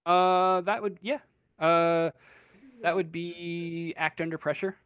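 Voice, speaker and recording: A game master improvising narration for a tabletop roleplaying game, pausing often to think. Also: medium pitch, steady tone, clear, resonant tone, no echo, highs cut off.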